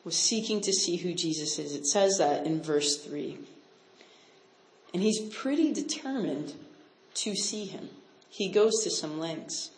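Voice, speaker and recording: A woman's voice: fairly low pitch, animated, a little slow, darkish tone. Roomy-sounding woman teaching conversationally. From Canada. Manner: conversational, chatty, with frequent "like" and "right?" asides. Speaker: missionary speaker. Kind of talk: casual Bible teaching talk with audience questions. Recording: roomy echo.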